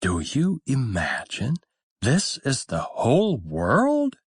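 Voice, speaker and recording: A man's voice: mid-range voice, huge pitch swings, takes it slowly, slightly rough, dry recording, clean studio sound, pitched above the performer's own voice, heavily acted. A man voicing a weary, proud mother duck from a fairy tale, with a fussy, motherly, exclamatory manner. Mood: knowing, motherly.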